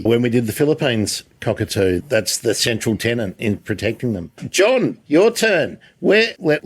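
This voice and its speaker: A middle-aged Australian man hosts a relaxed, conversational interview. His voice sits at a medium pitch. The sound is dry, with no room echo. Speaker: host. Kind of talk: casual interview hosting.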